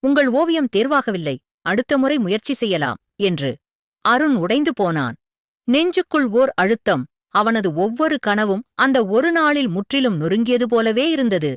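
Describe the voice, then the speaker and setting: A woman reading a scripted moral story for children as a storyteller, with an expressive, earnest delivery. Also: on the high side, unhurried, dry recording, studio quality.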